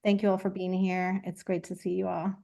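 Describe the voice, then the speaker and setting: A woman's voice: fairly low pitch, level tone, brisk, vocal fry, warm tone. A woman leading a group meeting on a video call, friendly and easy to laugh. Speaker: group leader and host. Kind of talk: casual video call hosting.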